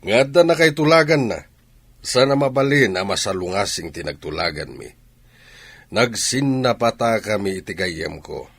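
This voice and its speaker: Deep, husky, stern father played by a man. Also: expressive, normal speed, no echo, heavily acted. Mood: firm. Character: stern, controlling rich father threatening disinheritance. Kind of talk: Ilocano audio drama acting.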